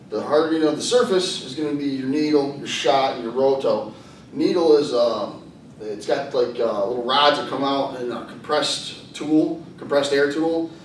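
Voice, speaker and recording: A plain-spoken man in his thirties explaining things as an instructor in an informal whiteboard lesson. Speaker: welding instructor and video host. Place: hard-walled indoor classroom with whiteboard. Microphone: far camera. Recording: echoey room, distant camera microphone, faint rumble.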